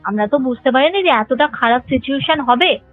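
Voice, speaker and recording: Woman caller, high voice, nervous and rambling, phone-line sound, faint music under. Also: expressive, a little fast, no room sound. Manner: fearful, earnest, rambling retelling. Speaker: caller telling a ghost experience. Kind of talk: phone-in storytelling. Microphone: phone call.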